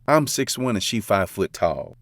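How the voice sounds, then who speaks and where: A man's voice: on the low side, animated, normal speed, a bit bright, no echo. A man narrating a first-person romance story in a casual, confiding, slangy way. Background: faint background music.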